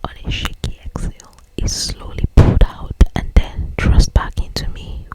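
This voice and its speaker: An ASMR host reading romance fiction aloud in a breathy, intimate whisper, with drawn-out phrasing.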